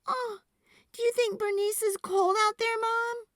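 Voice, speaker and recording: Woman voicing a high, earnest little storybook girl. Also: steady tone, measured pace, fairly bright tone, no room sound, studio quality, full character voice. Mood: hopeful, concerned. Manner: hesitant ums and huhs, heartfelt. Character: earnest, stubborn little girl devoted to her squash.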